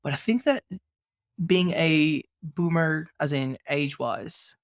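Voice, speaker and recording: A man's voice: fairly high pitch, expressive, slow, no room sound, studio quality. A man hosting a podcast interview, talking through his ideas and building his points with rhetorical questions. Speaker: podcast host. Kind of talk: casual podcast conversation.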